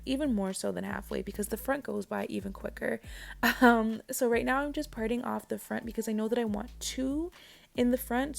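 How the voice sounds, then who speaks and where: A woman narrating a hair tutorial voice-over, chatty and talking straight to her viewers, with a raspy voice. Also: a little high. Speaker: parent vlogger. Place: home.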